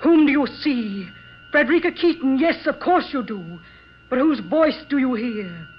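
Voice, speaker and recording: A high-pitched bride with a dead wife inside her. She speaks slowly and theatrically, as in old radio drama. The sound is dull and slightly noisy. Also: animated. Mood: cold, accusing. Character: vengeful dead wife speaking through a frail young bride.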